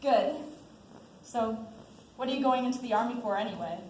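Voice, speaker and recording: A woman's voice: a little high, normal speed, natural voice. A young woman in a school play acting an outspoken, caring teenage friend. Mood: insistent. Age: young adult. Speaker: student actor in a one-act school play. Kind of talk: amateur stage acting. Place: indoor stage, recorded from the audience. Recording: roomy echoing stage recording, loud noise.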